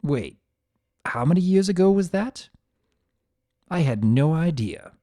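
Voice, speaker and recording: Trapped chaos spirit, read by a performer: mellow, wry, theatrical first-person narrator, animated melody. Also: mid-range voice, unhurried, no room sound, studio quality, pitched above the performer's own voice. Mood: bewildered, musing. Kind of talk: first-person dramatic storytelling.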